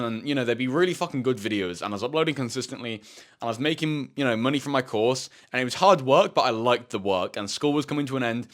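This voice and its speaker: A seventeen-year-old man telling a rambling, casual story, with a fairly deep voice, swearing often and saying "bro" and "like" a lot. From United Kingdom. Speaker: self-improvement YouTuber.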